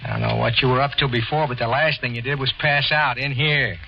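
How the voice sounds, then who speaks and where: A man's voice: mid-range voice, fairly even intonation, brisk, creaky, soft background music, close to an everyday voice. An actor playing a blunt, brusque carnival man in a 1940s radio drama. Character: gruff practical carnival man. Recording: dull old radio broadcast.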